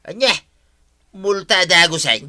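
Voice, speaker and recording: Male actor, excitable village neighbour, Ilocano Filipino accent, piercingly bright forceful voice, easygoing, exclamatory, radio comedy drama. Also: high voice, measured pace, heavily acted.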